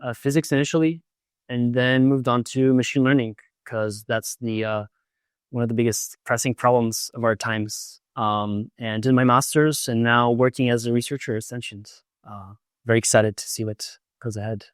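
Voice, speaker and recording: A man's voice: medium pitch, fairly even intonation, normal speed, clear, resonant tone, no echo, clean studio sound. A young man from Portugal, a native Portuguese speaker, introducing himself as a researcher guest. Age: young adult. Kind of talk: self-introduction.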